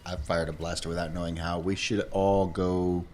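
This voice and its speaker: Deep-voiced man playing a tabletop game. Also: little pitch movement, moderate pace, a little mellow, slight background noise. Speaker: tabletop roleplaying player. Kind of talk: casual in-game planning chat.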